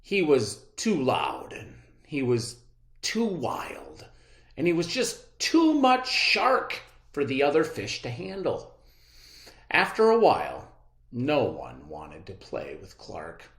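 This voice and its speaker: A read-aloud storyteller narrating a children's book with dramatic, expressive emphasis. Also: medium pitch, takes it slowly, echoey room.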